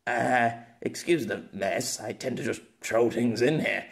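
A man voicing a flustered, gruff grey-haired male novelist in an audiobook, with a halting, stammering manner. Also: medium pitch. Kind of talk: amateur audiobook character acting.

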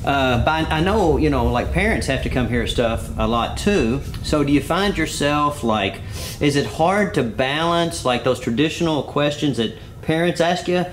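A man's voice: fairly high pitch, expressive, normal speed, a little hiss or hum, poor audio. A middle-aged man hosting a casual interview in a gym, talking loosely with lots of fillers and restarts. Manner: easygoing, many fillers and restarts. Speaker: interviewer, host. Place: indoor martial arts gym office.

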